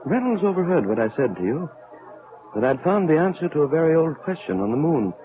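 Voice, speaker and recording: An actor in an old-time radio drama playing a troubled elderly scientist, speaking in a halting, brooding, theatrical way. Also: male voice, fairly high pitch, big pitch swings, phone-quality sound. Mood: earnest. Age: older. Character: grave, troubled elderly scientist aboard a spaceship. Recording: band-limited vintage radio recording.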